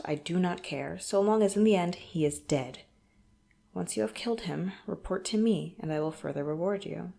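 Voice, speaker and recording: A woman playing a smug, menacing crime boss in an audio roleplay, speaking deliberately and tauntingly with cool politeness. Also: on the low side, creaky, mellow. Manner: deliberate, taunting, coolly polite with threatening undertone. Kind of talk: audio roleplay acting, addressed to the listener.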